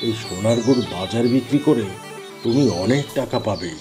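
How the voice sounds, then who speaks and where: A man's voice: medium pitch, expressive, brisk, over music, natural voice. A man voicing a kindly, solemn magical tree in a fairy tale cartoon, speaking in a reassuring, instructive way. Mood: benevolent, instructive.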